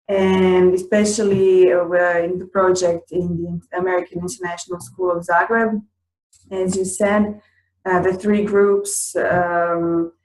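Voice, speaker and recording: Slow halting woman with Croatian accent. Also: lowish voice, roomy sound, poor audio. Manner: halting, many fillers and restarts. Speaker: teacher and co-presenter. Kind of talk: unscripted conference talk.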